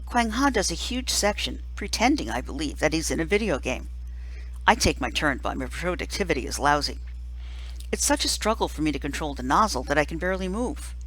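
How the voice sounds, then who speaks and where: Woman reading aloud, very lively pitch. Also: a little fast, husky, low-quality recording. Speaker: reader, teacher. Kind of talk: scripted audiobook narration of a children's novel, first person.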